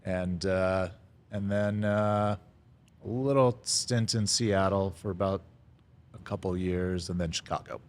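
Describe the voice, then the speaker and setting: A middle-aged man, a guest telling his life story in a casual interview, pausing often on "uh" and chuckling now and then. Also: sits low. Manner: halting, lots of "uh" fillers, chuckles now and then. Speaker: restaurant founder, podcast guest.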